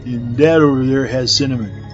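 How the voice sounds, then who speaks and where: A man's voice: medium pitch, moderate pace, lo-fi sound, faint music behind, lightly acted. A man playing a goofy stoner man-child in a comedic audio drama, rambling like a spacey slacker. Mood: dazed devotion.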